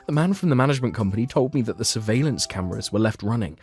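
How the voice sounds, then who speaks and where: Low-pitched man narrating a manga story. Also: expressive, rapid-fire, darkish tone. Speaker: manga dub narrator and lead character. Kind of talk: scripted first-person story narration with acted reactions. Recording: music behind the voice.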